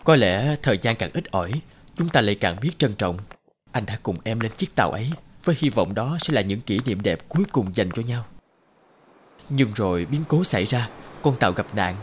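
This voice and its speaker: A man playing a tender, heartbroken husband and father in a dramatic cartoon voice-over, measured and sorrowful. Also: on the low side, expressive, slight background noise, natural voice.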